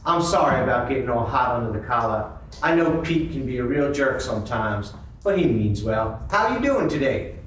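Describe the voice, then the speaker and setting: A man playing a pushy, smooth-talking male cat in a live sock puppet show. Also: mid-range voice, big pitch swings, normal speed, a bit bright. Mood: friendly, insinuating. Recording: echoey convention room, far mic, faint noise.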